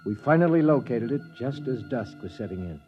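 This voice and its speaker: A man's voice: medium pitch, lively intonation, fairly quick, natural voice. A man playing a spaceship crewman who recounts a doomed expedition in 1950s radio drama style. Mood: somber recounting. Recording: dull vintage radio recording, music behind.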